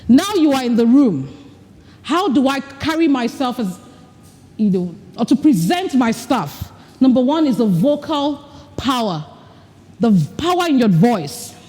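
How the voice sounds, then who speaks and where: A woman's voice: on the high side, sing-song, a little slow, mellow. Coaching woman in a reverberant hall. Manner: direct, coaching, acts out mock dialogue. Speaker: speaker at a women's leadership retreat. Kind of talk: live workshop talk with breathing exercises. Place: large event hall with an audience. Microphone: recording mic, not amplified.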